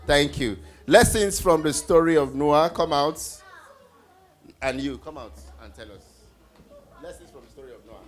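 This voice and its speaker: Higher-voiced man leading children's questions. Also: moderate pace, pauses often. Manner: encouraging, instructive, repeats answers and prompts with questions. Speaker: host leading children's Bible trivia. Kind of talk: interactive children's teaching on stage. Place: event stage before a group of children.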